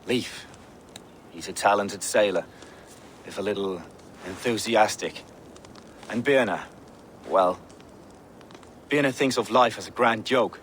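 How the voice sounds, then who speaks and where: A man's voice: fairly low pitch, takes it slowly, long pauses, fairly bright tone, slight background noise. A man playing a devout, brooding Viking warrior in a video game, speaking like a solemn, fervent storyteller haunted by visions. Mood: measured. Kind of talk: dramatic video game character acting.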